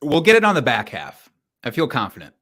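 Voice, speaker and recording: A man's voice: medium pitch, wildly swooping pitch, a little fast, a bit bright. A man in his thirties with a nasal voice, a guest in a casual chat, joking in a dry, understated way. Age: 30s.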